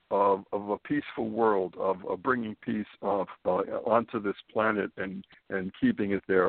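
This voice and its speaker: A man's voice: on the low side, gravelly, no room sound. A man hosting a radio talk show, praising his guest effusively and stumbling over repeated words. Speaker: radio host. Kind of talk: casual radio interview. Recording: dry, tinny phone line.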